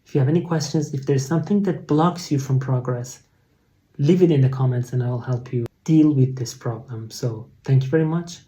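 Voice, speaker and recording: A man with a Persian accent, speaking English as a second language, teaching an online test-prep lesson in a clear, instructive way. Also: mid-range voice, moderate pace, dark tone, highs cut off. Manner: explanatory, instructive, with frequent fillers and restarts.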